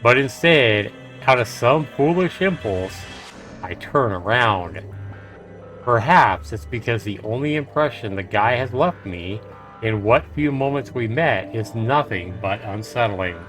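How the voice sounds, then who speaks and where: A man's voice: mid-range voice, lively intonation, a little slow, fairly bright tone, soft background music. A man streaming a game, reading a visual novel aloud and adding casual commentary.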